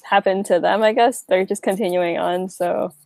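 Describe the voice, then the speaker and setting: A woman's voice: mid-range voice, fairly even intonation, moderate pace, creaky, darkish tone, no echo. A young woman from Hawaii answering interview questions in a loose, rambling way, full of fillers and restarts. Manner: rambling, many likes and restarts. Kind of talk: casual interview.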